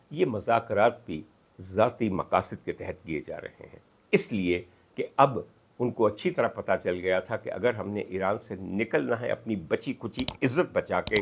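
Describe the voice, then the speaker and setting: A man with a Pakistani accent delivering political commentary as an emphatic, oratorical monologue, with dramatic pauses and piled-up lists. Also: medium pitch, husky. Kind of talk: rhetorical monologue of political analysis.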